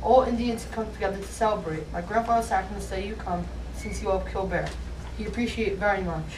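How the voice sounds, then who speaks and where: A woman's voice: medium pitch, a little fast, lightly acted. A woman voicing a serious young Native boy who speaks clipped broken English. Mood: solemn. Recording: distant echoey room with noise.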